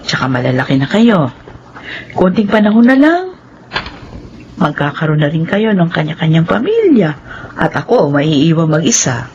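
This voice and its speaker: A determined, motherly Filipino woman in middle age. Her lowish voice moves expressively up and down in radio-drama style. The recording is dull, with faint noise. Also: very animated, normal speed, pauses often, a little mellow. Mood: tender, serious. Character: devoted, determined 53-year-old adoptive mother.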